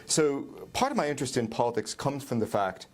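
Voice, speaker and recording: A middle-aged man from Ireland, a professor answering interview questions in a measured, explanatory way with frequent pauses and ums. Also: medium pitch, expressive.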